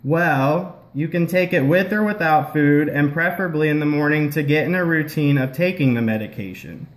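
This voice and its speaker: A man's voice: a little high, normal speed, natural voice. A man playing a patient, explanatory health-care puppet in a scripted educational show. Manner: explanatory, instructional. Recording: roomy echo.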